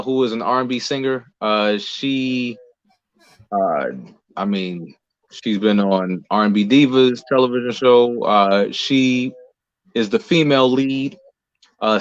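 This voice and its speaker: A man answering as an interview guest in a halting, explanatory, conversational way, pausing between phrases. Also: lowish voice, no echo, muffled recording. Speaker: interview guest, playwright and lead actor.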